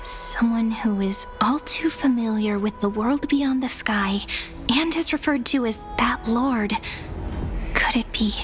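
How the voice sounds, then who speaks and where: A woman's voice: fairly high pitch, measured pace, over music, natural voice. A woman playing a friendly, curious young traveler in a video game, light, earnest and conversational. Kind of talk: scripted video game drama acting.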